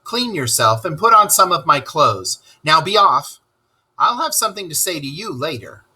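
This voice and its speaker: A man voicing a stern, excitable male water rat who scolds emphatically in a children's storybook read-aloud. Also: a little high, moderate pace, breathy edge, voice pushed up, full character voice. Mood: firm, bossy.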